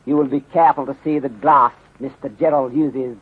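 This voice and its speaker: A man's voice: medium pitch, steady tone, fairly quick, breathy edge, lightly acted. A man playing a courteous, shrewd Chinese detective inspector in a 1930s radio mystery, speaking formally and measuredly in clipped phrases without articles. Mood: calm, methodical. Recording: muffled, band-limited 1930s radio recording.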